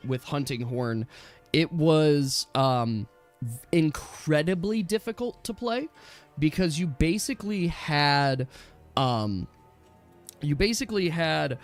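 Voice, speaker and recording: A man chatting casually and at length as a gaming stream host, emphatic and animated, often correcting himself. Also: a little high. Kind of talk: casual rambling gamer chat. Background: background music.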